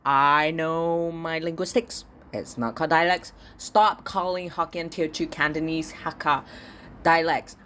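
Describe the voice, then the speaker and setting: A man's voice: sits high, a bit bright, slight background noise. A young man from Singapore, talking alone in a casual podcast diary at home, ranting and swearing with stammered repeats. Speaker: podcast host.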